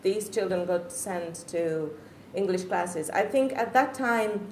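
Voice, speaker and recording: A woman's voice: on the low side, expressive, takes it slowly, darkish tone. Earnest Czech-accented woman, echoey room. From Czech Republic. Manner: earnest, reflective, searching for words. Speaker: parent speaker. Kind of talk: personal testimony talk. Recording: echoey room with faint noise.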